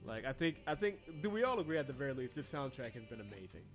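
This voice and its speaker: A man's voice: mid-range voice, fast talker. A man streaming a story game, chatting casually with his chat and laughing often. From Georgia, US. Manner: chatty and animated, laughs often, reads game text aloud. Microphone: desk mic. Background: game soundtrack music.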